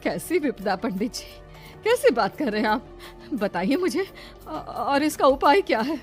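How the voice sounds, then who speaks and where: A woman playing an anxious but brave heroine in an animated horror story, earnest and dramatic. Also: high voice, measured pace, faint music behind. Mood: alarmed, questioning.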